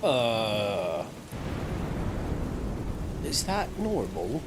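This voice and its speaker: A man banters as a tabletop player in a halting way. He talks very slowly with wildly varied pitch, over very loud background noise. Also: huge pitch swings. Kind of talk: casual joking banter.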